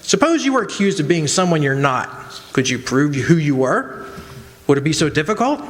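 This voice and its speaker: An unbending male prosecutor played by an actor argues in a high voice. He is emphatic and dismissive, firing off rhetorical questions. The old-style radio theatre delivery echoes in a hall. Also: very animated, normal speed. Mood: argumentative. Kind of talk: old-time radio drama acting. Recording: echoey hall stage recording.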